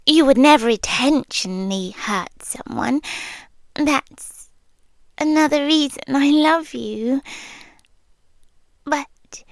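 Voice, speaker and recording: A woman with a British accent playing a possessive, lovestruck monster girlfriend in an intimate ASMR roleplay, often dropping into breathy whispers. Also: high voice, no room sound. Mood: wistful, whispered. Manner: slow, breathy, often whispering, intense and tender. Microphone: close ASMR microphone, dry.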